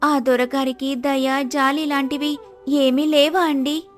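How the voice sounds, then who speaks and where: A woman narrator plays a caring village wife with a high voice. She pleads earnestly and with concern in a moral tale, music playing softly behind. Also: level tone, slow pace. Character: compassionate, worried village wife urging her husband. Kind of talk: moral-story character dialogue.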